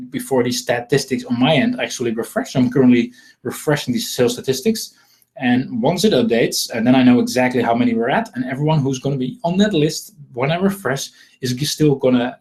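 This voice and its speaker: A man's voice: medium pitch, a little mellow. A man from the Netherlands with a Dutch accent, hosting an informal live sales webinar in a rambling, repetitive, self-deprecating way. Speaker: webinar host selling marketing software.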